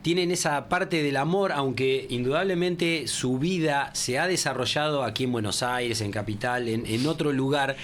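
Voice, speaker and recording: A man with an Argentine accent hosts a relaxed radio chat, reflecting slowly on what his guest has said. His voice has a mid pitch, with a faint hum of the studio behind him. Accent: Argentine Spanish. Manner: reflective, thoughtful musing. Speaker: radio host. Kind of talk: casual radio talk.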